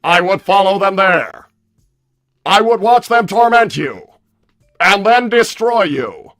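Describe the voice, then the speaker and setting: A man voicing a loud, belligerent combat robot with stilted, mechanical, declamatory delivery in a livestream roleplay. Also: high-pitched, sing-song, takes it slowly, soft background music, heavily acted. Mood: menacing. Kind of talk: improvised character roleplay on a livestream.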